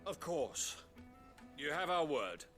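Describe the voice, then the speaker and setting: An actor playing an earnest, contrite town leader in a fantasy game, speaking solemnly and formally. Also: male voice, on the high side, big pitch swings, slow, a bit bright, soft background music, heavily acted. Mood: solemn, resolved. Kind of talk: dramatic video game dialogue.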